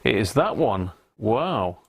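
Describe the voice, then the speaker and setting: A man's voice: on the low side, sing-song, slow, creaky, faint music behind. A British man hosting a casual livestream, talking informally to viewers and thinking aloud with frequent tag questions. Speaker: livestream host.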